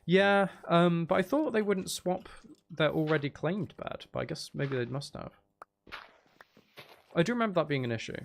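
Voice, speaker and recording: Guy streaming a game, kinda high voice that jumps all over, wry and exasperated, some noise behind him. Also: animated, moderate pace, vocal fry. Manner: exasperated, wry asides, talking to himself. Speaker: streamer playing a video game. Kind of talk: casual live gaming commentary.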